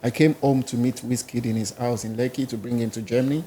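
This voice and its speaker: A man's voice: mid-range voice, steady tone, quick pace, mellow, a little hiss or hum. A man with a Nigerian accent, a musician guest in a podcast interview, talking in a rambling, casual way. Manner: rambling, casual, listing places and languages.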